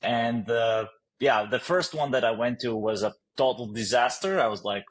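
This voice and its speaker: A man's voice: medium pitch, moderate pace. A man from Latvia with a Latvian accent, a startup founder answering interview questions hesitantly with many fillers and easy laughs.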